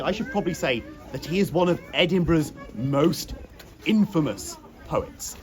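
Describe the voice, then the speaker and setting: A man working as a tour guide outdoors, leading the group in a playful, theatrical way. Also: on the high side. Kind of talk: guided walking tour patter. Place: outdoors in a foggy graveyard.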